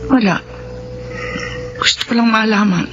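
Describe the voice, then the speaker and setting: A woman's voice: a little high, a little slow, fairly bright tone, faint music behind. An actress with a Filipino accent playing an anxious, suspicious wife and mother in a radio drama. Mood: distracted, troubled. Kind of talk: radio drama acting with murmured inner monologue. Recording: dull, noisy radio drama audio.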